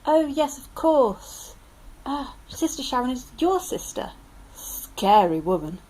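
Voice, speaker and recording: A woman's voice: high voice, sing-song, takes it slowly, darkish tone, heavily acted, poor audio. A woman voicing a chatty, breezy village police sergeant in an amateur audio drama. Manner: breezy, folksy.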